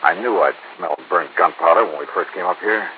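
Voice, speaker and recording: An American actor playing a shrewd, hard-boiled insurance investigator with clipped, probing delivery in 1950s radio drama style. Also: male voice, low-pitched, a little fast, close to an everyday voice. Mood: firm, grim. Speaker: lead actor in a detective serial. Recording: tinny, hissy old radio recording.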